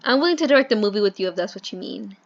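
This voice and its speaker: A woman playing a blunt, sarcastic sidekick with a dry, deadpan delivery in a comic audio drama. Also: mid-range voice, racing, gravelly, highs cut off. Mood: blunt, wry.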